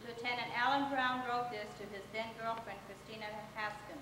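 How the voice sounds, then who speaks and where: A woman's voice: fairly high pitch, fairly even intonation, brisk, natural voice. A woman narrating a scripted readers theater performance on stage. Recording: very echoey hall, noisy, distant.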